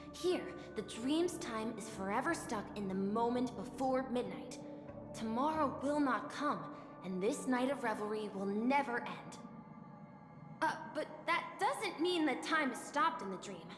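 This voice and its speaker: An actress playing a gentle, eager, apologetic young female guide who sounds polite and earnest in a video game. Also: sits high. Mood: apologetic, timid. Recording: echoey hall reverb, music behind.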